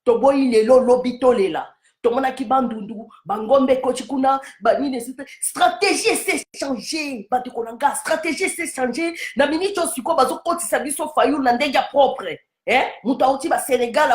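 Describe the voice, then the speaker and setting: A woman's voice: high-pitched, reverberant. A woman, giving a fervent campaign appeal to viewers and repeatedly addressing them directly. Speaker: political campaigner.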